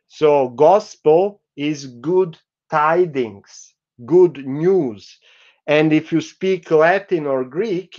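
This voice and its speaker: A middle-aged man giving a university lecture over a video call, explaining slowly with many fillers. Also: a little high, darkish tone, lo-fi sound. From Croatia. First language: Croatian. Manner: slow, explanatory, with frequent fillers. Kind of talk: recorded online lecture. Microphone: video call webcam.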